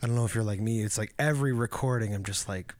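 A man hosting a casual interview, chatting in a relaxed, meandering way. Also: on the low side, quick pace, no room sound, clean studio sound. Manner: relaxed, chatty, drifting with fillers. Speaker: podcast host.

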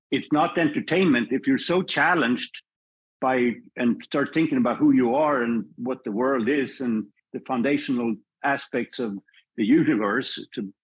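A man with a slightly husky voice talks about his work in a measured, reflective way. He often stops and restarts his phrases as he thinks. The recording sounds dull and muffled. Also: medium pitch. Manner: thoughtful, measured, with frequent restarts. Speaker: filmmaker guest. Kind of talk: reflective podcast conversation.

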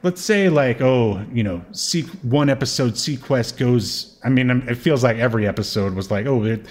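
A man's voice: mid-range voice, moderate pace, darkish tone. A man co-hosting a podcast in casual, unscripted chat, thinking aloud in an informal, rambling way. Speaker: podcast co-host.

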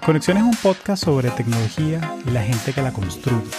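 A man's voice: mid-range voice, sing-song, a little fast, music in the background. A man from Venezuela hosting a tech interview podcast, chatting in a friendly way and laughing easily. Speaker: podcast host. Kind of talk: conversational interview.

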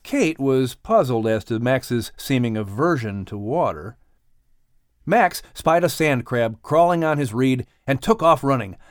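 A man narrating a scripted children's story as an audiobook reader, with expressive delivery. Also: medium pitch, unhurried, no room sound, studio quality.

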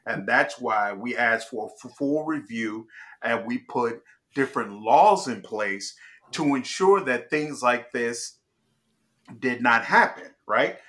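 Male host, from Chicago, bright and forceful tone, emphatic conversational commentary. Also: mid-range voice, slow pace. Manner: emphatic, restarts and checks in with "all right?". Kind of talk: casual political commentary.

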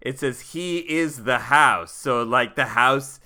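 Male tabletop player, sharp bright tone at middle pitch, loose jokey improviser with slangy talk. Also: takes it slowly. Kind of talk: casual improvised role-play.

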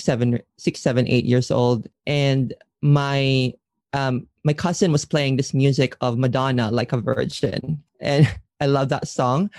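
Filipino-accented storyteller, mid-pitched male voice, dry sound. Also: darkish tone, dry recording. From Philippines. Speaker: interview guest. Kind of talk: personal storytelling.

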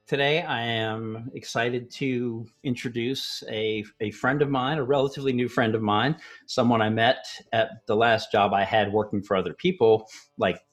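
A man's voice: mid-range voice, normal speed, soft background music. A man hosting a podcast, telling stories and chatting casually with lots of fillers. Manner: relaxed storytelling, chatty with many fillers. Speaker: podcast host.

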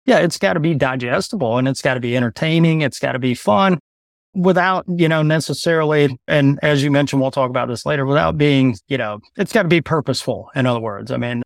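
A man in his thirties talks bluntly and with animation as a podcast guest, speaking in a loose conversational way. His voice is mid-pitched and recorded dry and close with no room sound. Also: gravelly, no room sound. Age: 30s. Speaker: podcast guest, video business owner.

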